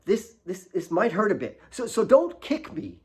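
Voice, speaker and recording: Storybook boy of ten, done by a man; earnest, stammering, high and animated. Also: moderate pace. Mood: nervous. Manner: earnest, stammering, repeats himself. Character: eager, caring ten-year-old boy, best friend.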